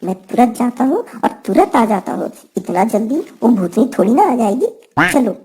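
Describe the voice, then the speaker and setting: A woman's voice: a little high, sing-song, normal speed, warm tone, a little hiss or hum. A woman voicing a cheeky, cowardly young male village bird in a cartoon, with comic, fretful rustic talk.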